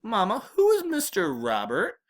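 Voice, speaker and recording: A woman's voice: mid-range voice, huge pitch swings, takes it slowly, darkish tone, breathy edge, pitched above the performer's own voice, heavily acted. A woman voicing an upset, incredulous mother with a Southern American accent in a storybook. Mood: worried. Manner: incredulous, dismayed. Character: worried, upset grown daughter, a Southern mother.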